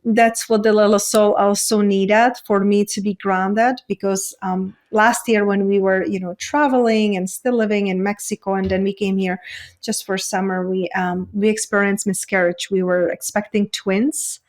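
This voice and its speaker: A woman's voice: medium pitch, steady tone, moderate pace, a little mellow. A woman from the Czech Republic with a Czech accent, speaking earnestly and reflectively as a coach being interviewed, in spiritual self-help phrasing. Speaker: podcast guest, women's coach. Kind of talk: reflective personal interview talk.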